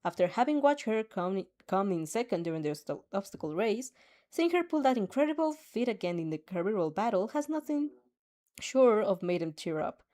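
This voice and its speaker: A woman's voice: mid-range voice, big pitch swings, normal speed, dark tone. A woman reading a fanfic aloud, with laughing asides and her own reactions. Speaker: fanfic reader.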